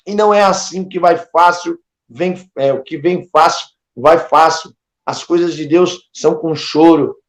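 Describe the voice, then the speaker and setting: A man's voice: a little high, reverberant. A man with a Brazilian Portuguese accent preaches informally and directly to listeners, admonishing them emphatically with repeated phrases and rhetorical questions. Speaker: preacher hosting an online ministry channel.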